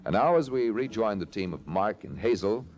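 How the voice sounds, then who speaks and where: A man's voice: fairly low pitch, animated, moderate pace, a little mellow, muffled recording, faint music behind. A man with an American accent reading scripted sponsor copy and narration as an old-time radio announcer.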